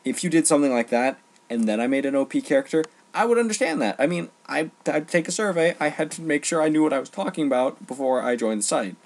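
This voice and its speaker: A man delivering an informal opinion rant, emphatic and halting, often repeating phrases for stress. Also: on the high side, darkish tone. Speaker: online ranter.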